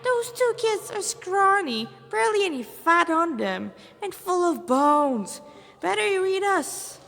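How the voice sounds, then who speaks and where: A woman voicing a brazen, laid-back older male Rasta hunter from a fan story, bargaining in slangy, drawn-out phrases. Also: extremely high pitch, sing-song, gravelly, fairly bright tone, pitched above the performer's own voice, exaggerated voice. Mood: cool bargaining. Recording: reverberant echoey room.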